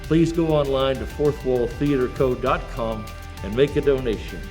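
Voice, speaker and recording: A man's voice: medium pitch, moderate pace, darkish tone, soft background music, close to an everyday voice. A man playing a jolly, long-winded old Santa Claus, hosting in a jovial, rambling manner. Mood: warm, grateful. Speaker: show host. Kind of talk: in-character hosting.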